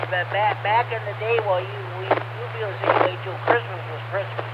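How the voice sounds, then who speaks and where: A man's voice: high voice, steady tone, moderate pace. A man in his sixties running a ham radio net as net control, chatting in a rambling, filler-heavy way. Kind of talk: casual chat over ham radio. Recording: lo-fi radio transmission with clear hiss.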